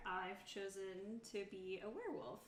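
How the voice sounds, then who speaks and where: A woman's voice: mid-range voice, unhurried. A woman chatting casually as a player at a tabletop roleplaying session. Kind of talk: casual chat. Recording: echoey room with faint background noise.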